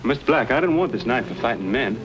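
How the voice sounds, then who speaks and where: A man's voice: medium pitch, expressive, fast, muffled recording, natural voice. An actor playing an earnest, polite frontier man with a folksy, hesitant manner in an old-time western radio drama. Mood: grateful, earnest. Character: earnest, polite frontier man admiring his new knife. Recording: old radio recording with music.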